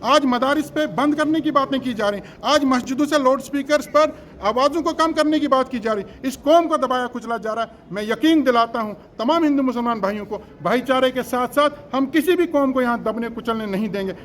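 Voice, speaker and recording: A man's voice: very high voice, a little fast, hardly any pauses, fairly bright tone. A man delivering a campaign speech as an election candidate, earnest and emphatic, in a formal register with rhetorical repetition. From Jammu and Kashmir, India.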